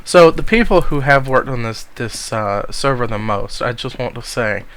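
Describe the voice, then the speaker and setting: A man with a cold giving a casual, rambling tour of a game world, speaking softly to spare his voice. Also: medium pitch, animated, normal speed, clear, resonant tone. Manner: rambling, many ums, soft-spoken to spare his voice. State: has a cold. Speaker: gamer giving a server tour.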